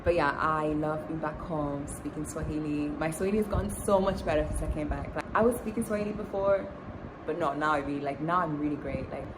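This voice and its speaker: A woman's voice: deep voice, fairly even intonation, brisk. A young woman from Tanzania chatting casually in a storytime vlog, with lots of fillers and restarts. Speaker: vlogger. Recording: loud background noise.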